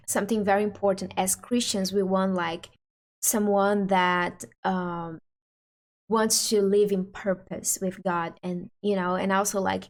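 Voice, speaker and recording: Woman, english, casual chat, laughing, middle pitch. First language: Portuguese. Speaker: channel host.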